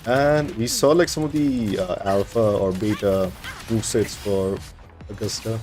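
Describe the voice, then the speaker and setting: Lowish-voiced man, casual game streamer. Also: a little slow, a little mellow. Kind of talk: casual gameplay commentary. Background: faint game music.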